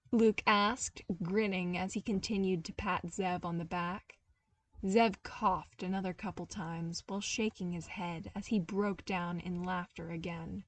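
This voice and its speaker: A woman narrating fan fiction as an expressive audiobook-style reader. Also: on the low side, distant mic. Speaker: podfic reader.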